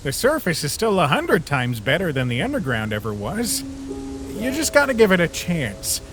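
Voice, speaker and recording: A man playing a laid-back, wisecracking skeleton big brother in an animated comic dub, with a casual, reassuring manner. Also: a little high, moderate pace, over music.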